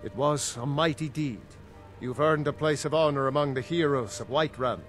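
An actor playing a stately, solemn Nordic ruler in a fantasy game, speaking in a measured, formal, authoritative way. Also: male voice, on the high side, fairly bright tone, soft background music, close to an everyday voice. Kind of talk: fantasy video game dialogue.

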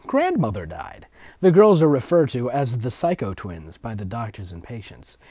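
Comic story reader: sarcastic, theatrical, highly animated pitch, dry close sound. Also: no echo. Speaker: fan fiction reader and commentator. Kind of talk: comic dramatic reading with sarcastic asides.

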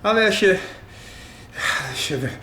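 Guy acting hot-tempered middle-aged dad in a radio play, self-pitying, big swings in pitch, bit of room echo. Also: animated, unhurried, bright, forceful tone, exaggerated voice. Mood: fuming. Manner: blustering, defensive. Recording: roomy sound with faint noise.

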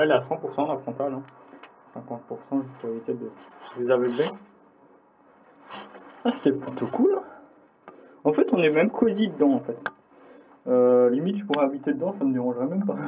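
A man's voice: mid-range voice, warm tone, poor audio. A young man casually narrating his cycling trip to a handheld camera, chatty and self-mocking with many fillers, a bit tired. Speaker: cycling vlogger. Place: outdoors in a village and a forest, inside a small bunker. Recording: outdoor camera audio with clear ambient noise.

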